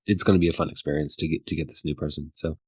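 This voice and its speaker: Deep-voiced male podcast co-host. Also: fairly even intonation, very fast, darkish tone, breathy edge, dry recording. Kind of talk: casual discussion.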